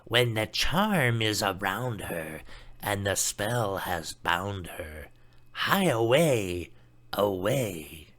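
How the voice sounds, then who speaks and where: Man as a hoarse, hushed old witch fairy. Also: on the low side, expressive, pitched below the performer's own voice, exaggerated voice. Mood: sinister incantation. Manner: slow, hushed chanting of rhymed spell. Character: pale, meagre old fairy witch, cruel enchantress.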